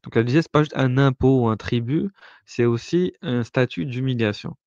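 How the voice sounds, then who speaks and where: A man talks through a subject in a relaxed discussion, pausing often and restarting his sentences as he explains. His voice comes through a muffled, lo-fi recording with no room echo. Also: mid-range voice. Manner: hesitant, many fillers and restarts, explaining patiently. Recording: dull lo-fi sound, dry.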